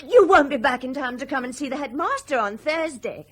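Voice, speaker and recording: A woman's voice: high voice, lively intonation, fairly quick. A woman acting in a British radio drama as a pilot's bitter, sardonic, hard-drinking wife, biting and mocking. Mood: resentful.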